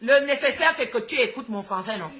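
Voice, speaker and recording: A woman's voice: fairly high pitch, expressive, fairly quick, fairly bright tone. A woman with a Gabonese accent, a native Fang speaker, ranting live to her followers in an outspoken, combative, repetitive way. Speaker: social media host.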